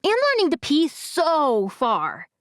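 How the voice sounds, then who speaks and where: Little kid with a lisp, high and eager, storybook style, played by a woman narrator. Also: wildly swooping pitch, takes it slowly, fairly bright tone, no echo, studio quality, full character voice. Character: younger boy with a lisp.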